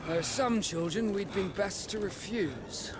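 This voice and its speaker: A man playing a formal, stern middle-aged ship's captain with a measured, commanding way of speaking in a video game. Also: high-pitched, heavy background noise. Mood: formal, firm. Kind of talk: video game dialogue acting.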